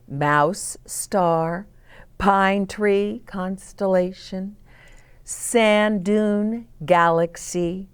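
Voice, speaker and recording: A woman's voice: fairly low pitch, clean tone. A woman hosting story time for young children, reading aloud with dramatic emphasis and explaining things simply with questions to the listener. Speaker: children's storybook reader and host.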